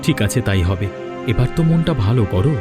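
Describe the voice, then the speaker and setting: A man's voice: medium pitch, animated, brisk, over music, natural voice. A man playing an earnest, devoted young husband in a cartoon, talking in a coaxing, sincere way. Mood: reassuring. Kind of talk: Bengali cartoon voice acting.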